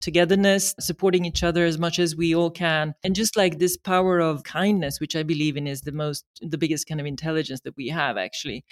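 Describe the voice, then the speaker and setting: A woman interviewer asking questions in an expressive way, sometimes pausing to find words. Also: low voice. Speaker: interviewer, host.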